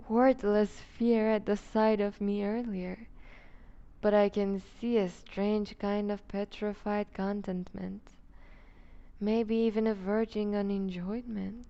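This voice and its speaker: A woman's voice: mid-range voice, dark tone, clean tone, dull audio. A woman playing a seductive, dominant vampire duchess in an intimate ASMR roleplay, teasing and condescending, often slipping toward a whisper.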